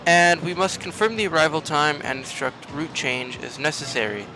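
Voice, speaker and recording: A man's voice: a little high, moderate pace, noisy background. A man hosting a gaming video, explaining a game step by step in tutorial-style commentary.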